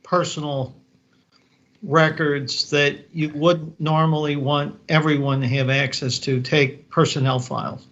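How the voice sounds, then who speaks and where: A man on a board committee, asking pointed questions at a meeting in a halting, restarting way. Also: fairly high pitch, flat delivery, a bit bright, highs cut off.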